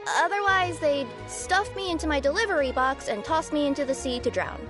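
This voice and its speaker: A young two-tailed cat spirit working as a courier, bubbly and easily flustered. She talks high and bright with a sheepish, apologetic lilt. Faint game music runs behind her. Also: animated, brisk. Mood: explaining. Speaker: delivery courier character. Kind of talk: video game voice acting.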